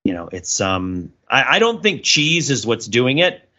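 Bright-voiced man, thinking aloud. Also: mid-range voice, sing-song, unhurried, lo-fi sound. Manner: discursive, hedging, thinking aloud. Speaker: podcast co-host. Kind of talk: casual analytical podcast discussion.

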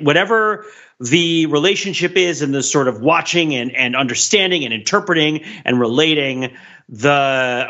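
A man delivers analytical podcast commentary. His voice is fairly high and bright, with a forceful edge, on a slightly dull recording. He phrases things deliberately, like a mock lecture, checking in with rhetorical tags. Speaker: podcast panelist.

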